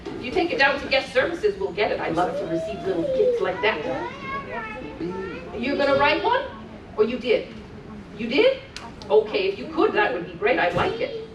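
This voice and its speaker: Woman acting as Santa's doting wife, live children's storytime, cheerful, encouraging, very lively pitch, distant mic, crowd around. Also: normal speed, long pauses. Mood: cheerful, chatty. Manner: sing-song, encouraging, addresses children. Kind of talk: live storybook reading to an audience. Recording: echoey room, distant mic, crowd noise.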